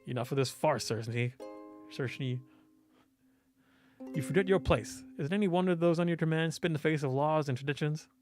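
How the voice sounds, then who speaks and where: A man's voice: fairly high pitch, a little fast, pauses often, a little mellow, faint music behind, lightly acted. A man voicing a stern, haughty, authoritarian duke in a visual novel. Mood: stern, contemptuous. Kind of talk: visual novel character reading.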